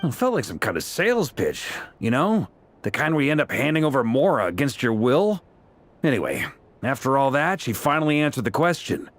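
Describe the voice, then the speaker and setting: An actor playing an affable, wry veteran knight commander in a video game, speaking in a down-to-earth, conversational way. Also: male voice, mid-range voice, very animated, fairly quick, dry recording. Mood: wry, amused. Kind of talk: naturalistic video game voice acting.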